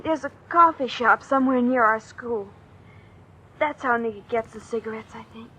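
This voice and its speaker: High-voiced, guarded teenage girl. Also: moderate pace, bright, forceful tone. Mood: reluctant. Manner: clipped, guarded answers. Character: defiant, frightened sixteen-year-old girl under arrest. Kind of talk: old-time radio drama acting. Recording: muffled old radio recording, faint noise.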